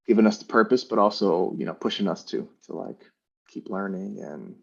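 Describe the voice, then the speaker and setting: A man and parent talking in a relaxed, musing way in a casual panel chat on a video call, his sentences trailing off softly. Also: lowish voice, moderate pace, gravelly, husky, muffled recording.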